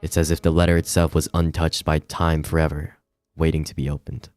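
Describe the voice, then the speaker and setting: A man reading a visual novel aloud as dramatic, measured narration. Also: rumbling low, level tone, darkish tone, soft background music.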